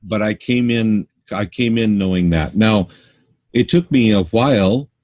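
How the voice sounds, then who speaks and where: A middle-aged man from Canada speaks in a very low voice, answering questions earnestly and stopping to restart his thoughts. He is a spiritual teacher, and his words sound dry and close to the mic. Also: measured pace, darkish tone, no room sound. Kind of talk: casual interview answers.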